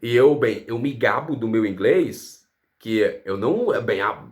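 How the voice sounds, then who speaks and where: A man's voice: on the low side, unhurried. A Brazilian man giving a casual language lesson, asking rhetorical questions and adding "tá?" to his sentences. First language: Portuguese. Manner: animated, rhetorical questions, frequent "tá?" tags. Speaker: language teacher.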